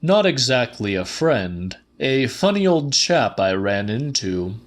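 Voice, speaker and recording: A man's voice: mid-range voice, big pitch swings, slow. A man voicing a weary, irritable, evasive man on the telephone in a dramatic audiobook reading.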